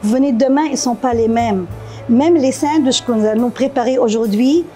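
Casting: woman in her fifties, Lebanese accent, soft mellow tone, interview guest, faint music behind. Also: fairly high pitch, moderate pace. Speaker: guest, café co-owner. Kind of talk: conversational interview answers. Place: indoor café.

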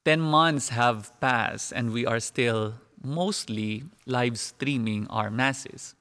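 Young priest giving a homily, slow and deliberate, bit of gravel in his voice, church reverb behind him. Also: mid-range voice, a bit bright. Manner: slow, measured preaching with rising emphasis on key words. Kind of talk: homily to a live-streamed mass. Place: church sanctuary, indoors. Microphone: headset mic.

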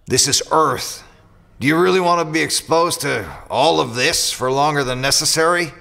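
Gravelly, sardonic mercenary. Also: male voice, a little high, normal speed, bright, forceful tone. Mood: sardonic, impatient. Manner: dry, sarcastic. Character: cynical, sardonic male mercenary pilot.

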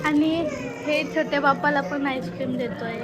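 A woman's voice: high-pitched, fairly even intonation, moderate pace. A woman chatting casually as a family vlogger, prompting the people around her in a home. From Maharashtra, India. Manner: chatty, instructing relatives to greet the camera. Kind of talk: casual festival vlog chat. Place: indoors at a family home during a festival gathering. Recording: crowded festive room with loud music. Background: loud chatter and music.

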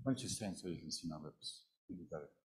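An older American man with a very deep voice talks calmly in short phrases into a handheld mic. He speaks in a large indoor hall and laughs lightly now and then. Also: fairly quick. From United States. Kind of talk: talk with live interpreter.

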